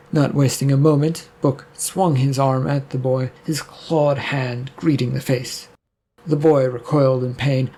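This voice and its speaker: Gravelly husky man reading a story. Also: fairly high pitch. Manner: steady storytelling with small restarts. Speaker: narrator. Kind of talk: reading a serial story aloud.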